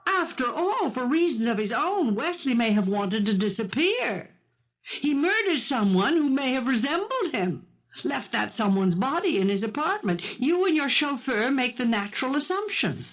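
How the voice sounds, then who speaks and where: An actress playing a poised, righteous woman in a radio mystery, measured and articulate, probing and moralizing. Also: sits high, sing-song, full character voice. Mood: probing, reasoning. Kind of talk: 1970s radio mystery acting. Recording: dull, band-limited 1970s radio recording.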